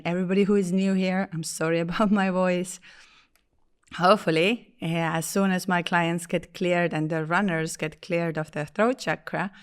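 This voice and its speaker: Hoarse woman hosting a spiritual podcast. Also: on the low side. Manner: apologetic, chatty, with small laughs and restarts. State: hoarse, lost voice. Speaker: podcast host. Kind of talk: casual spiritual podcast talk.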